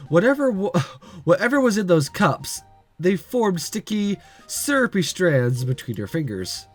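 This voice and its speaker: A man hosting a let's play, reading a visual novel aloud and breaking off for casual, joking commentary. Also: on the high side.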